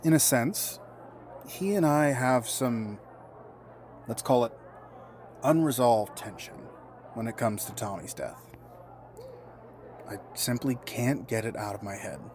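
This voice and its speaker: Man acting a measured, guarded friend, faint noise. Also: medium pitch, a little mellow, natural voice. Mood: troubled. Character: grieving, guarded, polite male friend. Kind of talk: audio drama acting.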